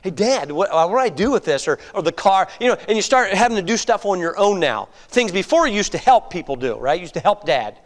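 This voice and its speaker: A man's voice: sits high, big pitch swings, fast talker, hardly any pauses, long reverb tail. A man in his fifties preaching a conversational sermon, full of rhetorical questions and frequent checks like "all right?". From United States. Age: 50s. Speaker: pastor.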